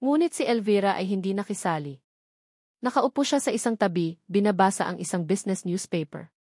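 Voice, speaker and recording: A woman's voice: mid-range voice, brisk, darkish tone, breathy edge, no echo, studio quality. A woman with a Filipino accent narrating a scripted love drama in a solemn, dramatic way. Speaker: storyteller narrator.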